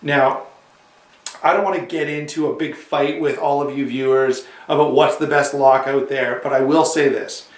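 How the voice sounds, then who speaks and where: A man's voice: mid-range voice, fairly even intonation, normal speed, distant mic. Chatty man with a cold, echoey room. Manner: chatty, rambling with restarts and asides. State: has a cold, slightly hoarse. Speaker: knife reviewer. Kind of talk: casual product review to camera.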